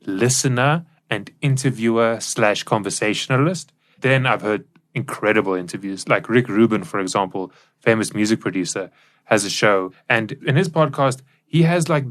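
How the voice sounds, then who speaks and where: A man co-hosting a podcast, explaining his opinions in a casual, conversational way and asking questions. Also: on the low side. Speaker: podcast co-host and interviewer.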